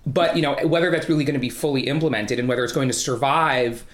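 A man's voice: medium pitch, steady tone, quick pace. Man explaining in an echoey room. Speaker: journalist, podcast panelist. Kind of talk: unscripted analytical discussion. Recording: echoey, roomy sound.

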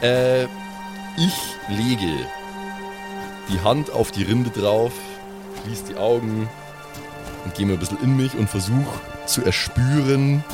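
A man's voice: medium pitch, takes it slowly, long pauses, music in the background. A man playing a tabletop game on a podcast, talking casually with joking irony. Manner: joking, ironic. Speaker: podcast player. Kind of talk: casual tabletop roleplay banter.

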